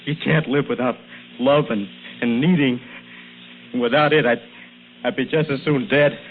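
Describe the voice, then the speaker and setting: An actor playing a desperate, devoted young farm boy, halting and pleading in a radio drama. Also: medium pitch, steady tone, a little hiss or hum, lightly acted. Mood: anguished, resigned. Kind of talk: old radio drama acting. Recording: narrow, humming vintage radio recording.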